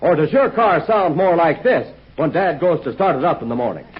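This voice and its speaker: A man announcing a 1940s radio commercial in a chummy, persuasive sales pitch. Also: very animated, fairly quick, husky. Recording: dull old 1940s radio recording.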